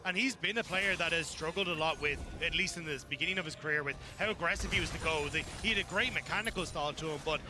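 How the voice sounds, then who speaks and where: A man's voice: on the high side, fast, hardly any pauses. A man giving live analytical commentary on an esports match, explaining plays as the colour caster.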